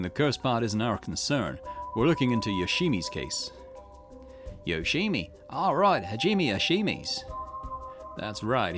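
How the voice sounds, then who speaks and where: Man reading game lines over music. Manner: switches between character lines with lively pitch swings. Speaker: gaming channel host. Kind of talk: reading game dialogue aloud in a let's play. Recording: game music playing underneath.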